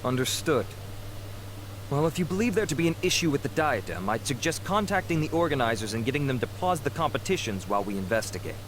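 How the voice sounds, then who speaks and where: An actor playing a calmly authoritative officer of the law in a video game, speaking formally and in measured phrases. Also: male voice, mid-range voice, lightly acted. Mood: calm, official. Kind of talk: English video game voice acting. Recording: clear background game noise.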